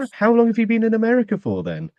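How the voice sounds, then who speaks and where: A man's voice: extremely high pitch, dark tone, dry recording, muffled recording. A man hosting a podcast in casual chat, rambling with many ums and restarts before bringing on a guest. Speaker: podcast host.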